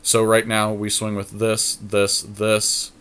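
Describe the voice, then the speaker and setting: A man's voice: fairly low pitch, completely flat delivery, slow, fairly bright tone, clear, resonant tone. A man co-hosting a podcast, giving casual commentary on a card game as he thinks his moves out aloud. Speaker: podcast co-host playing an online card game.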